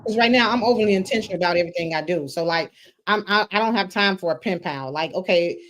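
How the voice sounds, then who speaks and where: A woman's voice: fairly low pitch, fast, fairly bright tone, slight background noise. A woman hosting a relationship podcast, asking questions and making emphatic points in casual chat. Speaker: podcast host.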